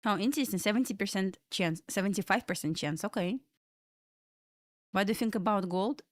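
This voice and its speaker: A woman's voice: medium pitch, a little fast, no echo, clean studio sound. A young adult woman from Belarus with a Russian accent, chatting loosely with viewers while she hosts a live trading stream. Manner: chatty, loose and rambling, answering chat questions between market comments. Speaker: livestream trading host. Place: home room in Florida.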